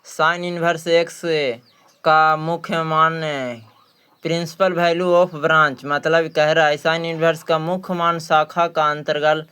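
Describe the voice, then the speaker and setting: A man's voice: on the high side, little pitch movement, slow, bright, forceful tone, clear, resonant tone. A man with a Bihari accent teaching a maths practice lesson, repeating phrases and asking questions that he answers himself. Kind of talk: exam practice lesson, working through questions.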